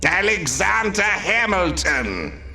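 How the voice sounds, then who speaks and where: An actor playing a bombastic, petty, silly supervillain in a comic video game, with a grandiose, hammy delivery. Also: male voice, high voice, big pitch swings, slow, vocal fry, bright, forceful tone, heavily acted. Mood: grand gloating. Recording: echoey, with music behind. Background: game music.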